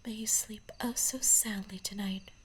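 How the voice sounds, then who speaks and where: A woman playing an obsessive, possessive stalker in an ASMR roleplay, whispering softly and breathily with tender menace and ornate, deliberate endearments. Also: medium pitch, fairly even intonation, background noise, lightly acted. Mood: quietly menacing.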